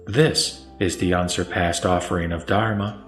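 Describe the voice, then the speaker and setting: A man reciting scripture as a scripted narration, with measured, solemn phrasing. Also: deep voice, fairly even intonation. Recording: roomy echo, music underneath. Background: background music.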